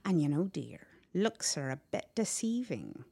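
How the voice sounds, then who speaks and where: A woman voicing a wise, kindly old country servant in a storybook, folksy, coaxing and unhurried. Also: lowish voice, big pitch swings, creaky, mellow, breathy edge, exaggerated voice.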